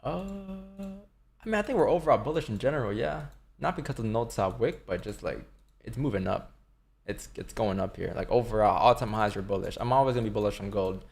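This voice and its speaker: A man's voice: fairly low pitch, fairly quick, creaky, a little mellow, slight background noise. A man in his twenties, chatting casually on a livestream and answering chat questions, laid-back and swearing now and then. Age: 20s. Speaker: livestream day trader. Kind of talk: casual live-stream chat.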